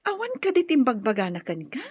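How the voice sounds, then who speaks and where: Teasing senior coworker, radio drama: leisurely, swooping, husky delivery, muffled close sound. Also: female voice, high-pitched, huge pitch swings, fairly quick, no echo, exaggerated voice. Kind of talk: Ilocano radio drama acting. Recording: dull lo-fi audio.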